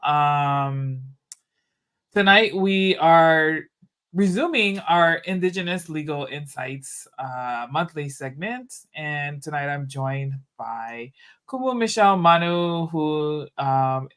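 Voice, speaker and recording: A podcast host opening a livestream episode in a relaxed, chatty way, with many ums and restarts. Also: medium pitch. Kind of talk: casual livestream podcast intro.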